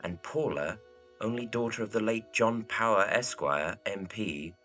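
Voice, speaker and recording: A man's voice: fairly low pitch, unhurried, a bit bright, far from the mic, faint music behind. A man playing an earnest, lovelorn young architect in a period audio drama. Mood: reading aloud.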